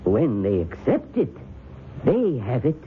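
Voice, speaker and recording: An actor playing a coolly knowing, sinister professor in an old-time radio drama, measured and slyly amused. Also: male voice, medium pitch, huge pitch swings. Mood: matter-of-fact, sly. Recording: tinny, faintly noisy old radio broadcast.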